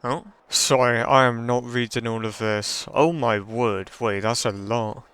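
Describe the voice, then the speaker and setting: Even, smooth-voiced man casually commentating. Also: measured pace, dry recording. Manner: low-key, offhand. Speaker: gaming streamer. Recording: faint game music behind.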